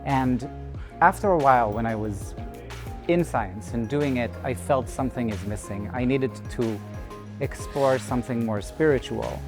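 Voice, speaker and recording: A man's voice: mid-range voice, music in the background. Thoughtful man. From Israel. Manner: thoughtful, measured, with pauses and restarts. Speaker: artist. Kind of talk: reflective personal statement.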